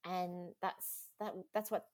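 A woman's voice: lowish voice, flat delivery, slow. A woman chatting casually as a podcast guest, rambling enthusiastically and laughing often.

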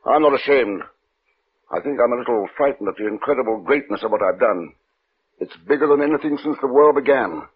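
An actor playing a driven, secretly frightened scientist, intense and insistent, in old-time radio drama style. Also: male voice, medium pitch, lively intonation, brisk, slightly rough. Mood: exalted. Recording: muffled, phone-thin old radio recording.

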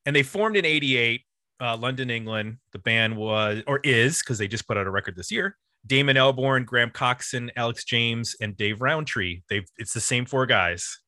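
A man's voice: medium pitch, moderate pace, bright, forceful tone, dry recording, studio quality. A man hosting a music podcast, casually explaining the history of an album in an informal, loosely structured way.

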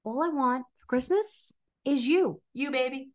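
A woman's voice: high-pitched, a little slow, darkish tone. A woman doing playful, theatrical dramatic readings with an exaggerated, melodramatic delivery as a podcast co-host.

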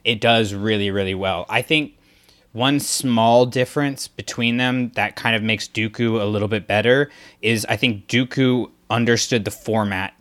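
A man co-hosting a podcast, talking casually with lots of fillers and getting excited as ideas come to him. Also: lowish voice, normal speed. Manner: rambling, filler-heavy, gets excited. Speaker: podcast co-host.

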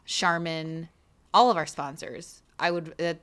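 A woman's voice: low-pitched, fairly even intonation, measured pace, fairly bright tone. A woman co-hosting a podcast in casual chat, teasing and joking. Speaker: podcast co-host.

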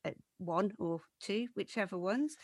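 A woman's voice: mid-range voice, unhurried, a little mellow, dry recording, studio quality. A middle-aged woman hosting a podcast, telling a wry, self-deprecating comic story about family before moving into an interview. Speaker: podcast host. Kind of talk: storytelling monologue then interview.

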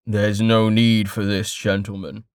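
A man voicing a calm, defiant merchant father with a deep, rich, measured baritone in an audiobook. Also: dry recording, studio quality. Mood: calm, firm.

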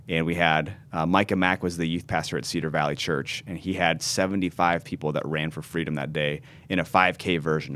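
A man's voice: low voice, fairly bright tone. A man in his thirties, a pastor, telling his story earnestly in an interview and often restarting his words. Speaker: pastor and ministry founder.

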